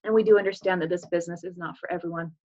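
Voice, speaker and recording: A woman's voice: fairly low pitch, steady tone, very fast, a little mellow, muffled recording. A woman giving an earnest, upbeat recruiting presentation over a video call, with casual fillers. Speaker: business presenter.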